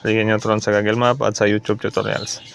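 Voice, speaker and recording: A man's voice: fairly low pitch, little pitch movement, fairly quick, creaky, a bit bright, a little hiss or hum. A man giving a casual repair demonstration, explaining step by step and mixing in English terms. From Philippines. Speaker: repair technician demonstrating his work. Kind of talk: casual repair demo vlog.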